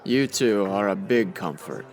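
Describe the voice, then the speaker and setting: An actor playing a skeptical, wisecracking crime photographer in an old-time radio style, dry and exasperated, with hardboiled slang. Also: male voice, on the low side, animated, measured pace, soft background music. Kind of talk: old-time radio detective drama acting.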